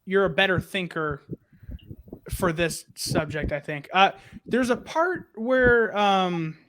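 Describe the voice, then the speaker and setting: A man's voice: high voice, takes it slowly, a bit bright, low-quality recording. A man in a casual group review chat, speaking in a blunt, joking way. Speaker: review show panellist. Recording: clear background noise with clicks.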